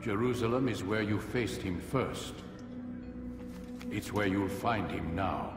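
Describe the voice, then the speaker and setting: A man playing a grave, wise older master of an assassin order in a video game, measured and instructive. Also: sits low. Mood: solemn counsel. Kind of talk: dramatic video game cutscene acting. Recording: very echoey stone hall, distant, music. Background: music.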